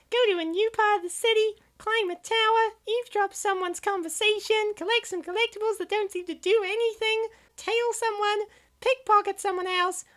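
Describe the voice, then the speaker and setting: A woman's voice: very high-pitched, fairly bright tone. A woman voicing a stubborn, exasperated young female gamer in a comic dub, complaining in long rattled-off runs.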